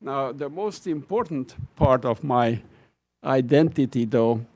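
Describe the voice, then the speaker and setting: A man's voice: mid-range voice, lively intonation, unhurried, a little mellow. An older man from Hungary with a Hungarian accent, a retiring professor telling wry, self-deprecating stories about his life to a lecture audience. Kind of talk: personal reminiscence at a lecture event.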